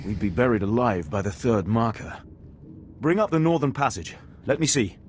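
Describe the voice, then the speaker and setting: A man's voice: mid-range voice, normal speed, slight background noise, natural voice. An actor playing a stern, formal male military commander in a video game, giving briefings with authority.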